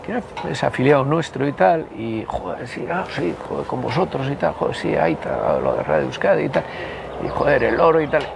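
A man's voice: medium pitch, sing-song, fairly quick, vocal fry. A man, telling rambling stories with casual swearing as an interview guest outdoors on a city street. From Basque Country. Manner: rambling, digressive, peppered with casual swearing and "y tal". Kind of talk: casual conversational storytelling. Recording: noisy outdoor street with traffic. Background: traffic and city ambience.

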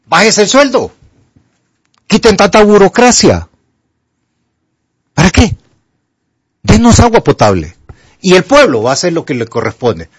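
An older man with a Honduran Spanish accent, a doctor on a radio call-in show who gives health advice in an emphatic, animated way. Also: high voice, no echo. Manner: animated, emphatic, rises to pleading.

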